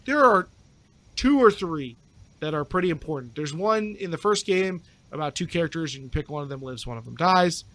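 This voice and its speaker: A man's voice: a little high, lively intonation, fairly quick, fairly bright tone. A man chatting casually as a podcast guest, speaking in an opinionated, emphatic way. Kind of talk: casual chat.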